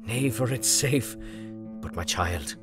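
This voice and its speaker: Man voicing solemn elderly doctor, fatherly, slow and pleading, faint guitar behind. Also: mid-range voice, animated. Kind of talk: dramatized audiobook reading.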